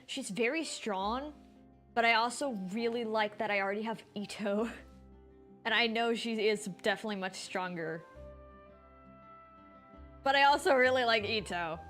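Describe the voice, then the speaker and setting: A woman's voice: a little high, fairly bright tone. A woman game streamer giving casual, chatty commentary and thinking aloud as she plays.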